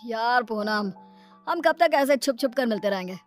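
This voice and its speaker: A woman's voice: on the high side, animated, moderate pace, faint music behind, natural voice. A woman voicing a playful, filmi, romantic young man in a moral story cartoon, with a showy manner. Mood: worried.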